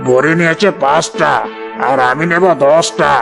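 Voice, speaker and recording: A man's voice: fairly high pitch, fairly even intonation, normal speed, gravelly. A man playing a greedy, jealous village headman, bossy and scheming, in a children's cartoon with music behind him. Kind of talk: Bengali children's cartoon dubbing.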